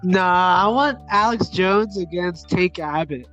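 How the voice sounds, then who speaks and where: A man's voice: high-pitched, slow, fairly bright tone. A man co-hosting a sports podcast with blunt, slangy casual commentary and free swearing. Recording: muffled lo-fi audio, faint music.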